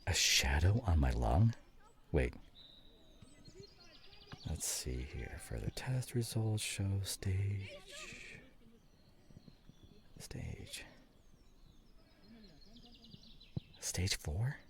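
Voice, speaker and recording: Deep-voiced, slow, tender husband and father acted by a man. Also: lively intonation, heavily acted. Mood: shocked, anxious. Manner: halting, tender, often dropping to a whisper. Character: loving, grieving husband and father facing grave illness. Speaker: audio roleplay performer. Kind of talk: emotional ASMR audio drama acting.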